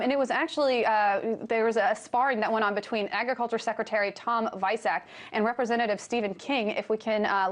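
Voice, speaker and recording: A woman anchoring a television news discussion, putting questions to guests in a conversational broadcast style. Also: on the high side.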